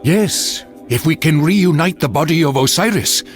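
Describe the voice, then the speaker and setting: A man's voice: fairly high pitch, lively intonation, normal speed, close to an everyday voice. A man playing an earnest, loyal warrior ally in a video game cutscene. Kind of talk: scripted game cutscene dialogue. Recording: music behind the voice.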